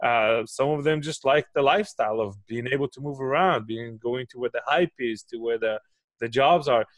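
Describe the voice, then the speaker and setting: A man's voice: medium pitch, normal speed, dry recording. A man in his forties from Israel with an Israeli accent, a guest explaining his views on a video call and often checking in with "right?". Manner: explanatory, makes a point then asks "right?". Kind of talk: interview answers. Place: home office. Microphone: headset with studio mic on a video call.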